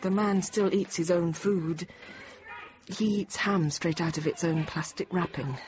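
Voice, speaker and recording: A slightly muffled radio drama narration by a lowish-voiced woman, an actress as a teacher held hostage. She is weary and reflective, speaking in measured short phrases. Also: steady tone, a little mellow, slight background noise, natural voice. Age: 30s. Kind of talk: intimate first-person radio drama narration.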